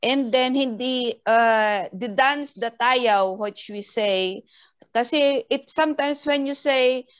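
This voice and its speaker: A Filipino woman from Benguet speaking casually in an online panel talk, unhurried and searching for her words. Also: on the high side, clean tone, dull audio. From Philippines, Benguet. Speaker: community member guest.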